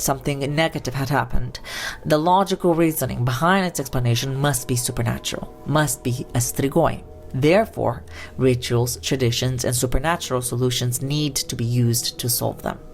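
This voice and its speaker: A woman narrates a documentary from a script, her voice sitting low in pitch. Her delivery is measured and even, with faint music playing softly behind her. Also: creaky. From Romania. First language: Romanian.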